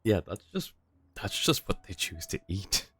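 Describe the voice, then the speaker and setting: A man's voice: on the low side, very animated, unhurried, a bit bright. A man giving casual, wry livestream commentary on a game in an informal way. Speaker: game streamer.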